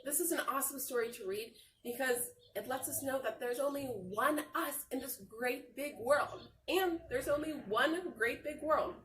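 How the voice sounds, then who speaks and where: A woman reading a picture book aloud like a teacher, talking to young children in a simple, encouraging, expressive way with drawn-out phrases. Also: high voice. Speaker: read-aloud storyteller and teacher. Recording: roomy echo, distant mic, faint noise.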